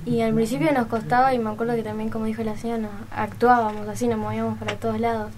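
A woman's voice: on the high side, little pitch movement, very fast, darkish tone, slight background noise. A female speaker giving casual interview answers about taking part in a school production. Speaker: school radio drama participant. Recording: chattering crowd behind.

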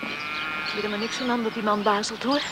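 A woman's voice: medium pitch, animated, a little fast, sharp tone. An actress playing a cheerful, helpful young farm woman in a Dutch radio play. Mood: friendly. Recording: very noisy old radio play recording.

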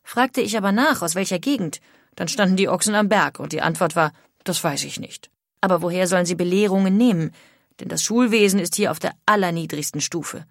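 Actress as a lower-voiced, outspoken emigrant woman. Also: fairly quick, dry recording, clean studio sound, lightly acted. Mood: scornful. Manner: formal, opinionated. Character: critical, outspoken 19th-century German emigrant woman writing letters home. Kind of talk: dramatic reading of historical letters.

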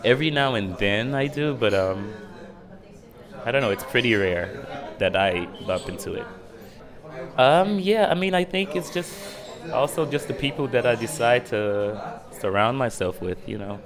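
A young man from Israel chatting casually as an interview guest, speaking in a hesitant, reflective, halting way. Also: medium pitch, lively intonation, crowd noise. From Dimona, Israel. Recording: music playing behind the voice.

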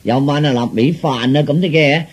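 A man with a Cantonese accent telling a folk story in a colloquial, animated way, acting out the dialogue with drawn-out particles. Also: a little high, breathy edge.

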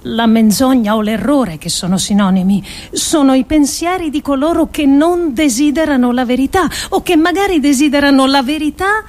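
An actress reads a scripted radio monologue. Her voice sits fairly high, and she delivers each phrase deliberately, with dramatic pauses. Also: darkish tone. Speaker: actress reading an adapted essay.

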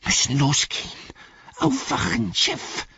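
A sneaking, agitated storybook creature, speaks in a breathy, hissing rasp. The voice leaps high and often thins into whispers, repeating itself in clipped, urgent phrases. The sound is slightly dull. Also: male voice, huge pitch swings, unhurried, sharp tone, exaggerated voice. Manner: urgent repetitive muttering in clipped broken phrases. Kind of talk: audiobook character voice.

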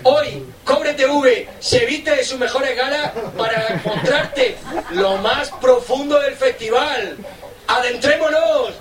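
A man's voice: very high-pitched, slow pace. A man with an accent from Spain presenting a playful TV report. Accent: Spanish from Spain. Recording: echoey, distant mic, crowd behind.

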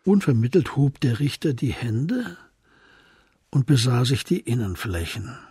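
Male narrator for radio crime drama: mid-pitched, very breathy and husky, scripted storytelling. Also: very animated, a little slow, mellow, no room sound, clean studio sound.

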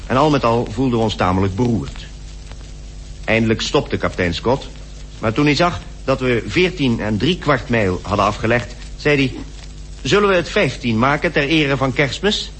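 A man's voice: medium pitch, a bit bright, slight background noise, lightly acted. An actor playing a practical British polar expedition member, recounting his diary in a matter-of-fact, detailed way for a radio play. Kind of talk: radio drama diary monologue.

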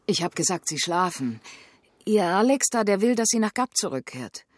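An actress playing a polite, soft-spoken, concerned bearer of messages in a radio drama, in a gentle, hushed manner. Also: female voice, medium pitch, very animated, moderate pace, no room sound, clean studio sound.

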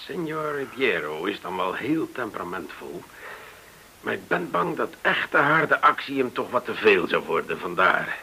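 A man's voice: lowish voice, sing-song, unhurried, creaky, pushed and bright, full character voice. An actor playing a tough interrogator in a radio drama, commanding and sardonic as he presses for answers. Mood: smooth, sardonic. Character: tough, menacing male interrogator with dry mockery. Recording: hissy old radio play recording.

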